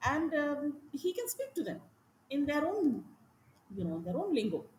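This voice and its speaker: A woman with an Indian English accent speaks as a teacher in a conversational interview, stressing her points with animated repetition. Her voice sits fairly high. The room is echoey, with faint ambient noise behind her. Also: unhurried. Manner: animated, emphatic, repeats points. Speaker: teacher, podcast guest.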